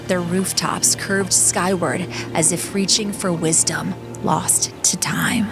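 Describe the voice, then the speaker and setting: A woman's voice: lowish voice, fairly even intonation, slow, over music. A woman narrating an audiobook in a solemn, poetic, dramatic style.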